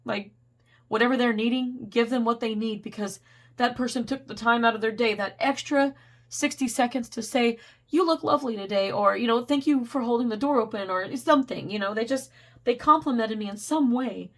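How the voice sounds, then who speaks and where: A woman giving a casual, rambling tarot reading, laughing often and losing her voice to a cold. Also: brisk. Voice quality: getting squeaky. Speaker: tarot reader.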